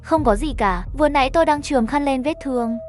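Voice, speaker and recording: Woman reading as arrogant injured man, frightened, foul-mouthed, defensive, high voice, horror story, music behind. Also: fast, a little mellow, lightly acted. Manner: self-reassuring, cursing, defensive. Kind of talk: horror story character dialogue.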